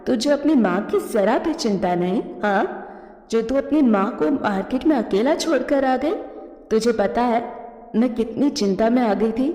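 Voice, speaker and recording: Woman playing a mellow, motherly cartoon crow. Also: fairly high pitch, animated, measured pace. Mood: worried, scolding. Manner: coaxing, motherly, gently scolding. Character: caring, worried older mother-in-law crow, motherly. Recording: echoey reverberant studio track.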